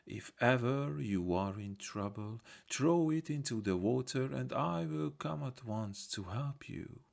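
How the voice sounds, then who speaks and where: A man's voice: medium pitch, animated, unhurried, vocal fry. A man voicing a small, grateful fish in a storybook tale, earnestly promising magical help. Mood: earnest promise.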